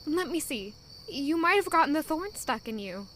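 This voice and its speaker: An actress playing a kind young maid in an audio drama, talking in an earnest, caring way. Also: female voice, high-pitched, very animated, moderate pace, a bit bright, a little hiss or hum. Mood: gentle. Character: young woman maid, kind and warm-hearted.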